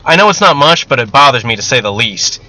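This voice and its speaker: A man's voice: mid-range voice, big pitch swings, fast talker, pushed and bright, rough recording. A man voicing an earnest, candid young medical student and survivor in an audiobook story, speaking a little hesitantly. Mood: uneasy, candid.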